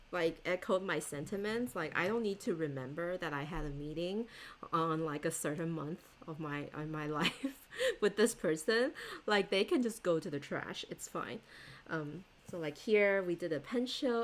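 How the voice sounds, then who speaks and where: Chatty lower-voiced woman laughing about planners. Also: a little hiss or hum. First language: Chinese. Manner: chatty and rambling, laughs often, many fillers. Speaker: stationery vlogger. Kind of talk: casual chat showing planners.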